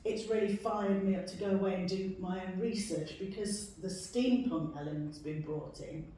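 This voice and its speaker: A woman speaking in a measured, articulate way as a member of an arts group in a group discussion. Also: medium pitch, warm tone. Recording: very echoey room, distant mic, faint noise.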